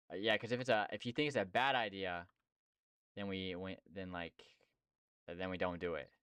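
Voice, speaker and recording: A man's voice: deep voice, steady tone, moderate pace, long pauses, fairly bright tone, clear, resonant tone, dry recording. A young man streaming and chatting casually about game development, slangy and rambling with lots of fillers. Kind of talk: casual chat.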